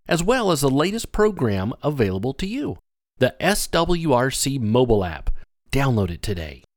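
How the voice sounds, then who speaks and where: Host: man, friendly scripted radio announcer, slightly raised pitch, close and dry. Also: dry recording.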